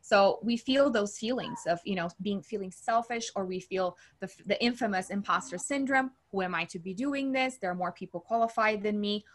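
A woman from Brazil speaks as a coach in an interview. Her voice sits at an average pitch, and she explains things in an earnest, enthusiastic, conversational way. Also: moderate pace, hardly any pauses. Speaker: coach and podcast host being interviewed.

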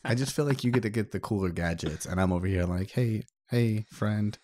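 A man with a deep, low-pitched voice co-hosts a podcast. He talks in casual banter, recorded dry and close with almost no room sound. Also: brisk, a little mellow, no room sound. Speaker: podcast co-host.

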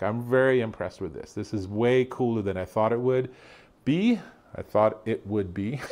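A man's voice: medium pitch, darkish tone. A man in his fifties with a North American accent, giving a casual, unscripted product review in a chatty, upbeat, approving way.

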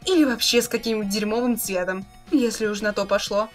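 A woman's voice: mid-range voice, quick pace, faint music behind, natural voice. A woman playing a smug, condescending young schemer with a theatrical manner in a webcomic reading. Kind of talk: dramatic reading of a webcomic chat.

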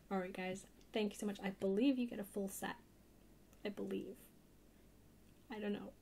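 A soft-spoken woman chatting casually in a rambling way for an ASMR haul video. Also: mid-range voice. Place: indoors at a table, hands-only camera. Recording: clear background hiss or noise.

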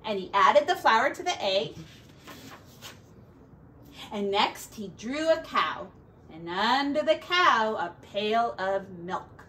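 A middle-aged woman, a teacher reading a picture book to children in an expressive, deliberate way, recorded indoors from a few feet away. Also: a little high. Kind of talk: animated children's storybook read-aloud. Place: small home room, indoors. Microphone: camera a few feet away. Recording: distant camera mic, faint background noise.

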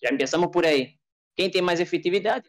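Young Brazilian male, native Portuguese speaker, rapid argumentative delivery, very dry recording. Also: high voice, dry recording. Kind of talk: informal football debate. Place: indoors, home streaming setup on a group video call.

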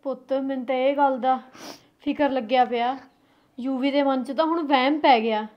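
A woman's voice: sits high, fairly even intonation, moderate pace, muffled recording, lightly acted. A woman playing a worried middle-aged mother who scolds and coaxes her family. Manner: scolding then coaxing, motherly. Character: worried middle-aged mother caught between son and daughter-in-law.

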